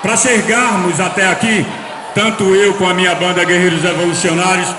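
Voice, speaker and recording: High-voiced man shouting a speech in a hall. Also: fairly even intonation, moderate pace, distant mic. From Aracaju, Brazil. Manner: declaiming loudly to a crowd. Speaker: community educator and band singer. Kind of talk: speech at a school event. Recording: echoey hall with noisy crowd.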